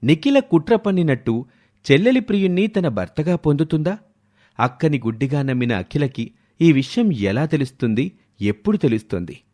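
A man's voice: a little high, sing-song, moderate pace, warm tone. A man narrating a scripted moral story as a dramatic storyteller.

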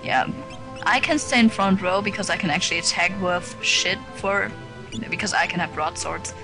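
A woman's voice: fairly low pitch, moderate pace, rough recording. A woman co-hosting a video game playthrough, casually explaining her plans and laughing along. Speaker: let's play co-host. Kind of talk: casual gameplay chat. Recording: game music underneath.

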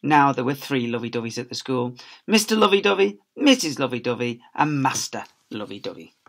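A man's voice: mid-range voice, big pitch swings, fairly quick, fairly bright tone. A man, reading a children's storybook aloud as a teacher. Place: small school office, indoors. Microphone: laptop or webcam at desk distance.

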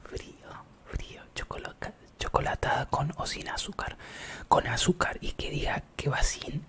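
A man with a Rioplatense Spanish accent, whispering softly as an ASMR live streamer, chatting with viewers in a rambling, playful way. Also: normal speed.

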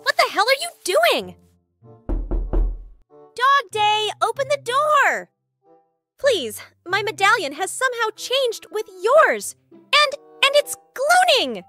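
A performer voicing a childlike cartoon cat in a comic dub, squeaky, anxious, grumbling and snarky, with music behind. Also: very animated, slow, pauses often, sharp tone, heavily acted. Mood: alarmed, then pleading. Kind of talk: comic dub character acting.

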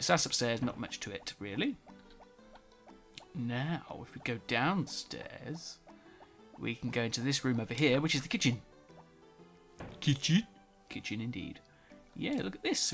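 A man's voice: mid-range voice, vocal fry, fairly bright tone. A man giving casual let's play commentary, a bit nasal from a cold, reading game text aloud as he plays. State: slightly nasal, sore throat. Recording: faint music behind.

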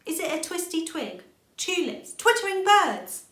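Eager young girl rabbit for children's storybook female reader acting, excitable squeal, high pitch. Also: measured pace, roomy sound, exaggerated voice. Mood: excited.

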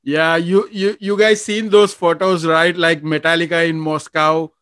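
A man with a somewhat high voice hosts an interview over a video call. He stumbles and restarts his sentences often. The sound is dry and close. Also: little pitch movement, fairly bright tone, smooth, no echo. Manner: halting, stumbling, many restarts. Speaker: host. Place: from the Philippines.

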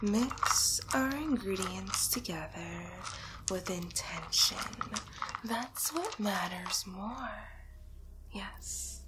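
Woman playing a very slow, whispering vengeful witch. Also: mid-range voice, lively intonation, very noisy. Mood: hushed, intent. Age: young adult. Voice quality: breathy, often whispered. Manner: slow, deliberate, soothing yet menacing. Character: sly, vengeful young witch brewing a hex. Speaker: ASMR roleplay performer. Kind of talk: ASMR roleplay, soft whispering and murmuring. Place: small bedroom, indoors.

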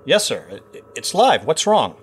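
Man acting an animated, anxious aide. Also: on the high side, measured pace, a little hiss or hum. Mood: tense, reporting. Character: anxious, deferential male intelligence aide. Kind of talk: audio drama acting.

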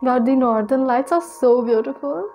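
A woman's voice: sits high, normal speed, darkish tone, faint music behind. A woman in her twenties with an Indian accent, giving casual reaction commentary as a video host. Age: 20s.

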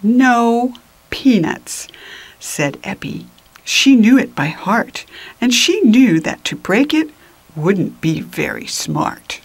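An older woman reading a children's picture book aloud in an expressive, deliberate manner that drops into whispers, with little asides to the listeners. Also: mid-range voice, creaky, husky, poor audio. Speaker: children's storybook reader.

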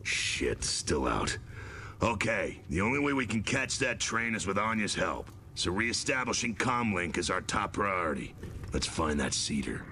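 An actor voicing a gruff, commanding, hard-bitten squad leader in a video game cinematic, gravelly and curt. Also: male voice, low voice, very animated, a little slow, noisy background, heavily acted. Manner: terse military commands, curt. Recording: game music behind the voice.

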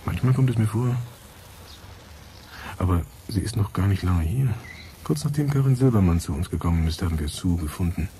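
An actor playing a pensive, fatherly research scientist in a radio drama, speaking gently and reflectively. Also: low-pitched, animated, brisk, pauses often, a little hiss or hum. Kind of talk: German radio drama acting.

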